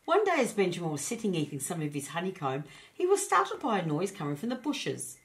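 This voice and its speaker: A woman narrating a children's storybook as an expressive storyteller. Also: lowish voice, fast.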